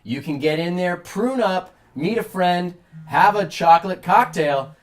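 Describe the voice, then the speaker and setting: A man's voice: high voice, animated, measured pace. A man hosting a late-night comedy talk show, reading mock news in a dry, mock-serious way and interviewing a guest.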